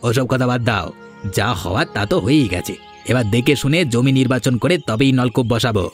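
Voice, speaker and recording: A sheepish village man in a cartoon, acted by a man. He talks fast in a familiar, defensive way at a middling pitch, with music behind him. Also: lightly acted. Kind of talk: Bengali cartoon voice acting.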